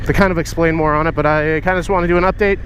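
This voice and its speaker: A man with a cold, sounding congested, talking in a relaxed, rambling way into a helmet mic while riding outdoors. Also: a little high, rapid-fire. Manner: laid-back and rambling, many fillers. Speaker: motorcycle vlogger. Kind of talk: casual helmet-cam vlog while riding. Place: outdoors on a motorcycle in traffic. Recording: helmet mic with loud road noise. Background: engine and road noise.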